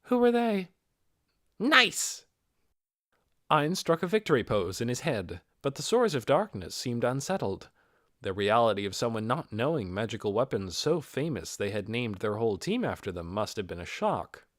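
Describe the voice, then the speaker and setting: A man's voice: fairly low pitch, very animated, moderate pace, no echo, clean studio sound. A man reading a fantasy novel aloud as scripted narration. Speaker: amateur audiobook reader.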